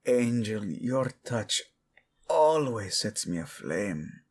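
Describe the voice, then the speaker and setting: A man playing a devoted prince in a romantic audio drama, low-voiced and husky. He speaks intimately and very slowly, a passionate husband murmuring to his beloved. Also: very animated, a little mellow, heavily acted. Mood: adoring, breathy. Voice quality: breathy, husky.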